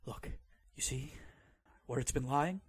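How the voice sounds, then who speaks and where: A man's voice: medium pitch, measured pace, breathy edge. A man playing a jittery, easily frightened bookkeeper who stammers nervously in old-time radio horror style.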